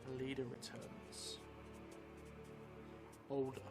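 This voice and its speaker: A middle-aged man thinking aloud as he improvises a story for a solo role-playing game, halting and musing. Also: mid-range voice, monotone. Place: small indoor room at a table. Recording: music behind the voice.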